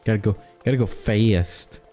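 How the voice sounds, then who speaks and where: Mellow low-voiced man streaming a game. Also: normal speed. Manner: playful, jokey. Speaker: livestream host playing a game. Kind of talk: casual gaming stream chat. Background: music.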